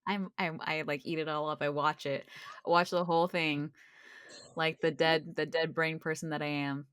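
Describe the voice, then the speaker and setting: A young adult woman co-hosting a podcast in casual chat, upbeat and chatty, quick to laugh. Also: low voice, little pitch movement, fairly bright tone, smooth, dry recording, studio quality. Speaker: podcast co-host.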